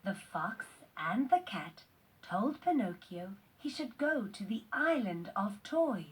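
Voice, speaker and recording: A woman reading scripted quiz questions and answers for children in a deliberate, instructional way. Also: medium pitch, very animated, breathy edge, poor audio. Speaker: narrator of a children's read-along quiz.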